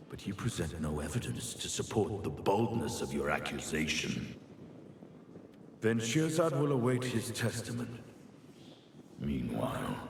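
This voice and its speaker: An extremely deep beast lord, stern and vengeful in a fantasy game. The commands are solemn and formal, ringing in long reverb over clear noise. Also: male voice, heavily acted. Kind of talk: dramatic fantasy game acting. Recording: noisy, echoing cavernous reverb.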